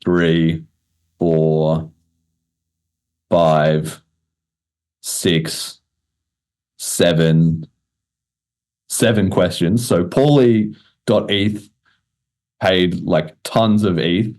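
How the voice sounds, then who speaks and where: A man's voice: low-pitched, steady tone, drawn-out words, long pauses, a little mellow. A man hosting a podcast, explaining things in a casual, informal way with the odd swear word. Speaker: podcast host.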